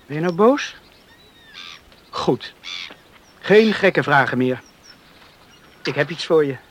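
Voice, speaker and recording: An actor playing a passionate, headstrong young village suitor in a Dutch radio play, ardent and impulsive. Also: male voice, on the high side, animated, takes it slowly. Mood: eager, tender. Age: young adult.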